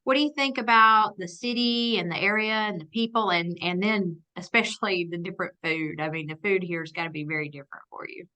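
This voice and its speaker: Lady hosting an interview, bright voice, friendly questions. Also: on the low side, fairly quick, few pauses. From Alabama. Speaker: interviewer, association president. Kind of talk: conversational interview.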